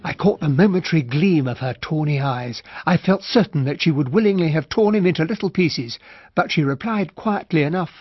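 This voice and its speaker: An actor with a British accent playing an observant gentleman narrator, telling a mystery in the first person for a radio drama. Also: a little high, brisk, husky, no echo. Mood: reflective. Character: observant gentleman narrator recalling a murder inquest. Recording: dry, dull, band-limited radio recording.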